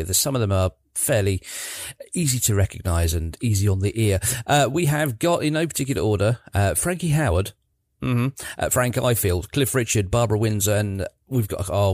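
A man presenting a Saturday morning music radio show in a chatty, jokey announcer manner, his voice a little croaky. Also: fairly low pitch, darkish tone, no echo. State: slightly croaky voice. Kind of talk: breezy music radio links. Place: radio studio. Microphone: studio microphone.

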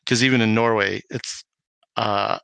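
Bright-voiced man telling stories. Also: fairly low pitch, a little slow, gravelly, clean tone, no room sound. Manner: rambling, animated retelling with quoted dialogue. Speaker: podcast guest, homebrewing video maker. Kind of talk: casual anecdotal interview talk.

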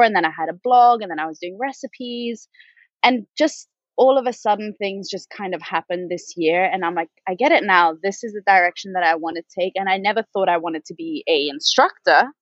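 A woman's voice: medium pitch, expressive, a little fast, no echo. Chatty woman guest, dry dull sound. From Spain. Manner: chatty, rambling, with frequent ums and laughs. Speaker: podcast guest. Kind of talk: conversational interview.

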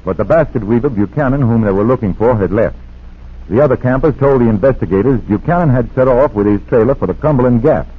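An actor playing a matter-of-fact police detective in a 1940s radio drama. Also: male voice, mid-range voice, quick pace, slightly rough, lightly acted. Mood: reporting. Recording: noisy, narrow-band vintage radio recording.

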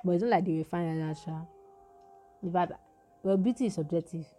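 A young woman with a Nigerian accent and a naturally deep voice, telling a story in casual chat and acting out the lines of the people in it. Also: fairly quick, soft background music. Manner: animated storytelling with quoted dialogue.

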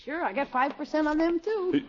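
Scheming, high-pitched boy salesman. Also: normal speed. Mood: brisk, wheedling. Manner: brash, wheedling salesman patter. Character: eager, scheming young boy working as store salesman. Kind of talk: 1950s radio sitcom acting. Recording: muffled, noisy old radio recording.

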